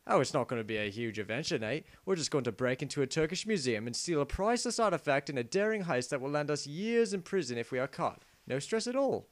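A man's voice: fairly high pitch, animated, fast, few pauses, no room sound, close to an everyday voice. A man voicing a cheery, scheming male thief in an amateur parody game dub, with blithe, matter-of-fact delivery. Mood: breezy, scheming.

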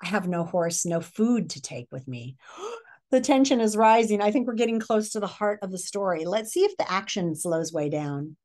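Middle-aged American woman reading aloud expressively. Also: mid-range voice. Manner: expressive, questioning, pausing to address young listeners. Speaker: read-aloud presenter. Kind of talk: picture book read-aloud with teacher-like asides and questions. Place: living room, indoors.

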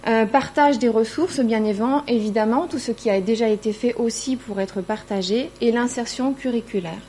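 Middle-aged female academic, fairly high voice, explanatory conference delivery, slight background hum. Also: a little mellow. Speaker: university lecturer presenting at a conference. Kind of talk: conference presentation. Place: indoor conference room, seated at a panel table.

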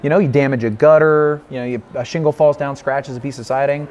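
A man's voice: mid-range voice, fairly even intonation, fast talker, darkish tone, highs cut off. A man in his thirties, a business owner talking informally in an interview and repeating phrases for emphasis. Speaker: roofing company co-owner being interviewed. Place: large hotel-style lounge, indoors. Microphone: lapel mic.

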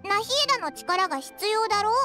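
Very high squeaky cheeky fairy companion. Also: female voice, expressive, fairly quick. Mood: puzzled, blunt. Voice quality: squeaky, childlike. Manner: blunt boyish casual speech. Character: small childlike floating fairy companion, cheeky and excitable. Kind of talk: Japanese anime game voice acting. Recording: game music behind.

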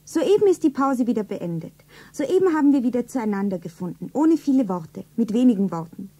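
A woman's voice: a little high, very animated, dark tone, soft background music. An actress playing a lovestruck, ordinary young working girl who earnestly narrates her own romance in a stylised 1970s radio play. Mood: dreamy narrating. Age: young adult. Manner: breathy, earnest, deliberate self-narration.

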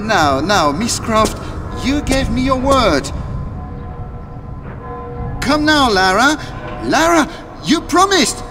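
A man's voice: extremely high pitch, very animated, takes it slowly, pauses often, big-room sound, over music, exaggerated voice. An actor playing a sly man who begs for help while dangling in peril, in a video game cutscene. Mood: wheedling. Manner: wheedling, breathless.

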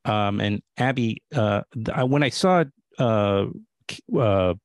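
Halting middle-aged man hosting, close and dry. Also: lowish voice, dry recording. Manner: halting, self-interrupting. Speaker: interview host. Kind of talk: casual interview. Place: small book-lined home room, indoors. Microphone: studio mic on boom arm.

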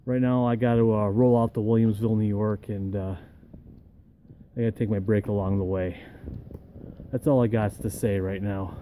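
A man's voice: fairly low pitch, fairly even intonation, normal speed, long pauses, mellow, a little hiss or hum. A man in his forties, a truck driver vlogging in a casual, wry and grumbling way from his cab, tired after a long shift. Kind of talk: casual vlog chat. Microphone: camera at arm's length.

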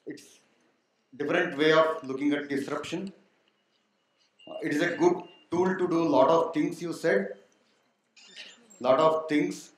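A middle-aged man moderating a panel with a handheld mic, putting questions straight to the audience. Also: on the high side. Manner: quizzes the audience directly. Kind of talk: panel discussion. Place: large auditorium stage. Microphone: handheld microphone. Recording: very echoey hall.

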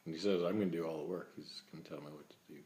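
A man's voice: extremely low pitch, steady tone, racing, warm tone. A man in his thirties playing a naive, milk-loving vegetarian in a comedic webcam monologue, rambling earnestly and awkwardly at a table indoors. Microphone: laptop webcam, close. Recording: echoey room sound.

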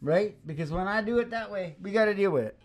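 A man's voice: high-pitched, lively intonation, fast. An older man hosting a music show with jokey, folksy, casual banter, speaking close to a microphone. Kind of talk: casual rambling stage banter. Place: small wood-panelled room, indoors. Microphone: close stage microphone on a stand. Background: acoustic guitar.

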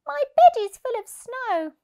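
Small plaintive squirrel for a children's storybook, played by a woman, pitched very high. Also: big pitch swings, measured pace, vocal fry, dull audio, heavily acted. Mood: miserable. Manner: plaintive, complaining. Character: small, cold, easily cross squirrel.

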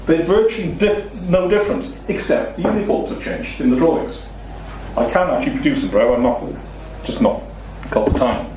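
A man's voice: on the high side, big pitch swings, moderate pace, background noise. A middle-aged Welsh man with a Welsh accent giving an illustrated lecture to a seated audience, explaining steadily and posing rhetorical questions. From Wales. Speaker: seminar speaker. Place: meeting room with a seated audience. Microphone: far room recording, no mic seen. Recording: very echoey room, distant mic.

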